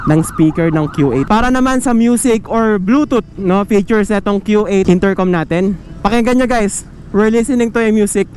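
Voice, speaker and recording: A Filipino man with a high-pitched voice talks in a casual, chatty vlog style. He addresses his viewers directly as he reviews gear. Traffic and vehicle noise can be heard around him outdoors. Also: normal speed, a little mellow. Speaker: motorcycle vlogger and product reviewer. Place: outdoors on a motorcycle in city traffic.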